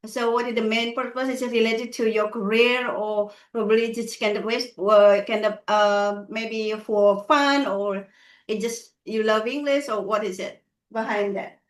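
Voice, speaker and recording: A woman hosting a casual online chat, speaking slowly and haltingly with many fillers and restarts. Her voice has a roomy echo from the space around her mic. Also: a little high, fairly even intonation. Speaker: host. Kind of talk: casual video call interview. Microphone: video call.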